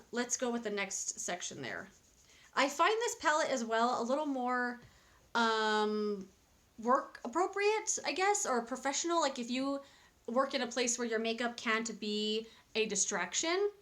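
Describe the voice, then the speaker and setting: A fairly high-voiced woman in her thirties talks casually to a close camera in a small cluttered room at home. Her review is chatty and candid, full of fillers and restarts, and she rambles along as she speaks. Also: a bit bright. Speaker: beauty vlogger.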